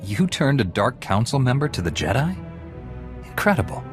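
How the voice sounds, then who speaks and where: A man's voice: mid-range voice, very animated, normal speed, faint music behind, close to an everyday voice. An actor voicing a principled male Jedi knight in a video game, dry and level in tone. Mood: steady. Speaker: player character.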